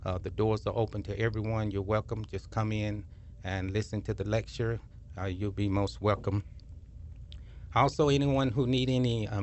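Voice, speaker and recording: A man hosting a community radio talk show, chatting casually with frequent fillers and repeating details. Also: fairly low pitch. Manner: relaxed, repeats phone numbers, frequent fillers.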